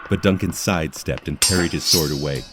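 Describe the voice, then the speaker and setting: Very deep-voiced male fantasy narrator. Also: brisk, over a noisy crowd. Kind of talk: scripted fantasy story narration.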